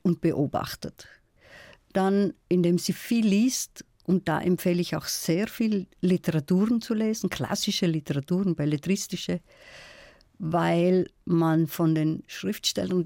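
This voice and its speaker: Mellow-voiced South Tyrolean woman, dry studio. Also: fairly low pitch, no room sound. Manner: thoughtful, measured, storytelling. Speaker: guest, folklorist. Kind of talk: radio interview.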